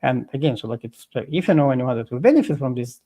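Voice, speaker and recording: A man being interviewed as a guest over a video call, explaining at length in a halting way full of fillers and restarts. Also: mid-range voice, lively intonation, mellow. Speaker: guest expert.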